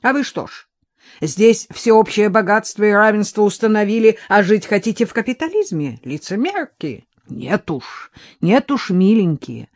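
A woman's voice: fairly low pitch, very animated, unhurried, darkish tone. A woman voicing a bitter older man who talks harshly, sarcastically and in angry bursts.

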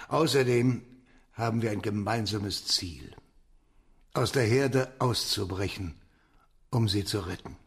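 A man's voice: lowish voice, a little slow, creaky, long pauses, fairly bright tone. An actor playing a cynical, subversive man in a radio drama, holding forth in a sardonic, lecturing manner. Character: cynical, subversive man, theorizing mentor type. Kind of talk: German radio drama acting.

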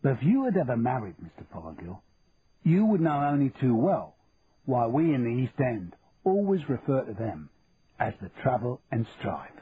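A man's voice: mid-range voice, very animated, moderate pace, close to an everyday voice. A man doing a wry Cockney shopkeeper. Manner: dry, teasing bargaining. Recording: tinny phone-like sound with faint hiss.

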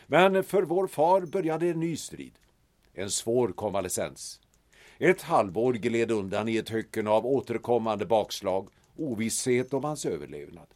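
A man playing a courteous, nostalgic older gentleman in an audio drama, telling things in a formal, theatrical way. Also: mid-range voice, natural voice.

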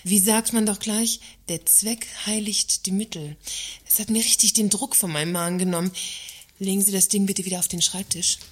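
An actress playing a scheming, defiant works councillor who feigns frailty in a satirical radio play, speaking in an arch, theatrical, husky way. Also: female voice, medium pitch, steady tone, normal speed, close to an everyday voice. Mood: defiant, mischievous.

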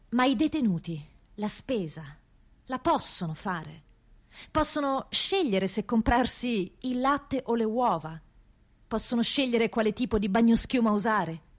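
Woman from rome doing a theatre monologue, mid pitch, deliberate, phrase by phrase. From Rome, Italy. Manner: deliberate, phrase-by-phrase delivery with pauses. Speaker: actress performing a monologue.

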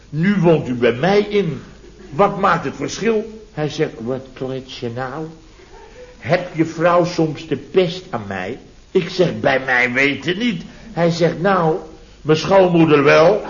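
An actor playing a blustering, sarcastic contractor boss in a radio comedy, theatrical and full of rhetorical tags. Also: male voice, on the high side, a bit bright, exaggerated voice. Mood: indignant storytelling. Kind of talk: late-1960s Dutch radio comedy acting. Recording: muffled vintage radio recording, audience behind.

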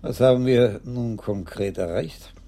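A man's voice: medium pitch, measured pace, dark tone, close to an everyday voice. A male actor in a radio crime drama playing an unflappable, impish police commissaris in his sixties with a dry, teasing manner. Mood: calm, kindly. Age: 60s.

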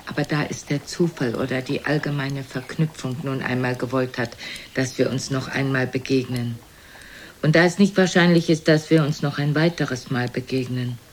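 A woman's voice: rumbling low, moderate pace. Imperious old mother, noisy recording. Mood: insistent, demanding. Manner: haughty, probing, theatrical. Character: imperious, blunt aged mother, coolly belittling her son. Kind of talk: German radio play acting.

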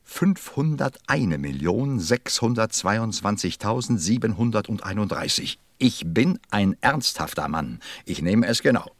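An actor playing a busy, self-important middle-aged businessman in a radio play, with a gravelly, husky voice and a testy, muttering manner. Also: on the low side, very animated, a little slow, few pauses, dry recording, exaggerated voice. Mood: smug. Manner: pompous, testy, muttering, swooping. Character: busy, self-important 54-year-old businessman counting stars.

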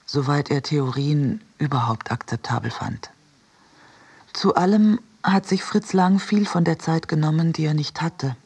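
A reader delivering a scripted literary essay for radio in a measured, deliberate way. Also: medium pitch, darkish tone, breathy edge.

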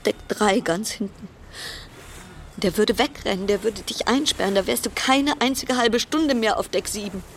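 An actress in a German radio play voicing a frayed, suspicious cruise ship passenger in a brooding monologue of broken-off, repeated phrases. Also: female voice, mid-range voice, moderate pace, a little hiss or hum, natural voice. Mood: suspicious, uneasy. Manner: fragmented, broken-off sentences, repeating words. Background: music.

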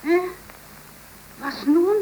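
A woman's voice: very high-pitched, very slow, mellow, heavily acted. In a 1930s German radio play, an actress plays a stern, nagging middle-aged mother, curt and insistent. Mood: sharp, nagging. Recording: faintly noisy 1930s radio recording.

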